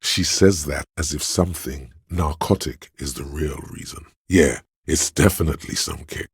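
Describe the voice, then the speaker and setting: A man voicing a sleazy, hedonistic inner voice of addiction in a video game, with a slow, coaxing, streetwise manner and a deep gravelly, husky sound. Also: dry recording, clean studio sound, heavily acted. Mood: sly, musing. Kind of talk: video game character voice acting.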